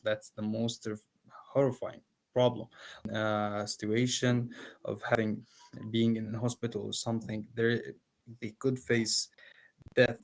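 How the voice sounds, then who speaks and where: Earnest man from Palestine, halting. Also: fairly low pitch, rough recording. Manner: earnest, hesitant, frequent fillers. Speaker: guest. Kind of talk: casual interview.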